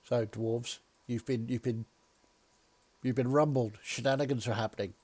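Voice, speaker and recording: A man's voice: mid-range voice, fairly even intonation, normal speed, darkish tone. A man running a tabletop game as dungeon master, dry and teasing as he calls turns and rolls.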